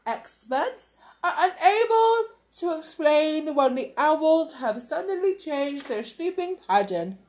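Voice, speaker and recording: A woman reading aloud, voicing a formal male TV newsreader with a measured delivery. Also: sits high, animated, darkish tone, voice pushed up. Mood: newsy.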